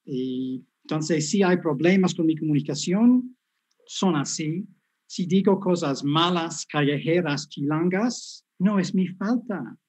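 A man's voice: a little high, animated, takes it slowly, a little mellow, husky. A man in his fifties, a native English speaker with a Spanish picked up in Mexico and coastal Colombia, giving a chatty, self-deprecating lecture over a video call. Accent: English speaker's Spanish with Mexican and Colombian street touches. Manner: self-deprecating, chatty, frequent tag questions. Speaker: guest professor.

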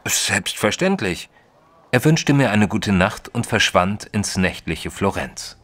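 A man playing a reserved Victorian gentleman, a detective's companion, narrating an audio drama in a measured, formal way. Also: fairly low pitch, big pitch swings, exaggerated voice. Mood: polite, cautious.

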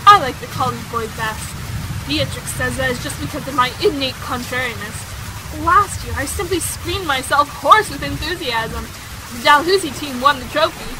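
An actress plays a young society woman, high-pitched and headstrong, who chatters on with dramatic emphasis. She is vain and candid, in the manner of a period radio play. Rain patters clearly behind her. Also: normal speed, noisy background, lightly acted. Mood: chattily indignant. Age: young adult. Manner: breathlessly talkative, emphatic, dramatic. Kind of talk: audio drama acting, period dialogue. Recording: steady rain sound effects behind.

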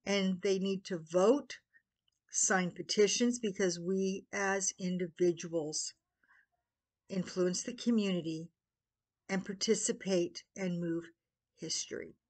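Older american lady talking real slow to her webcam at home, lots of pauses, earnest pitch for a cause. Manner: deliberate, halting phrasing with pauses. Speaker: petitioner promoting own website. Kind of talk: earnest promotional address to camera. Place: small living room at home, indoors.

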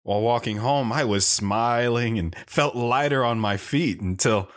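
A man's voice: mid-range voice, a little slow, natural voice. A man playing a bashful, wistful wanderer recounting his youth in an audio drama. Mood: reflective. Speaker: storyteller. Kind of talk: first-person audio drama narration.

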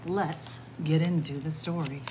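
A woman storyteller reads a picture book aloud to children in an expressive manner, recorded indoors in a library room. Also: deep voice, measured pace. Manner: expressive, addressing young listeners as friends. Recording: clear background room noise.

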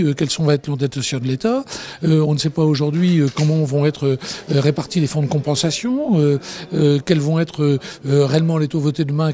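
A man's voice: a little high, a little mellow. A man in his fifties with a French accent answering an interview as a local official, hesitantly and repeating words as he explains. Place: large meeting hall, indoors. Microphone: handheld interview microphone.

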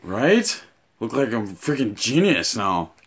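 A man in his thirties giving a casual, offhand unboxing and review, explaining things haltingly in a room at home. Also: medium pitch, big pitch swings, husky. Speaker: tool reviewer and vlogger. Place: small home room, indoors.

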